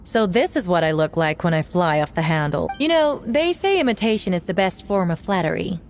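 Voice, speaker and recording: A calm wry lady knight in a game, lowish voice, dry delivery, soft music under it. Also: brisk. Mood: dry amusement. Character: composed, wry woman knight facing her imposter. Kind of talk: video game voice acting.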